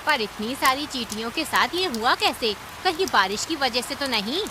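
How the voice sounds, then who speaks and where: A woman's voice: sits high, expressive, normal speed, background noise. A woman voicing a kindly, sensible aunt bird in a cartoon story. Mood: puzzled. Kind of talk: Hindi animated cartoon story acting.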